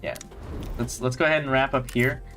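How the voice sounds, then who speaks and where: A man chatting casually while streaming a game, rambling with restarts, his voice slightly hoarse. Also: medium pitch, fairly even intonation, a little fast, sharp tone, soft background music. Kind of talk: casual gameplay stream chat. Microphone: gaming headset.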